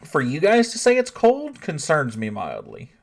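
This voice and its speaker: A man talks loosely to his live stream audience, answering chat as he goes. He thinks out loud and often stops to rephrase before he finishes a thought. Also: a little high, huge pitch swings, moderate pace, creaky. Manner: chatty, reads chat aloud, often restarts and thinks out loud. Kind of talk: casual livestream chat.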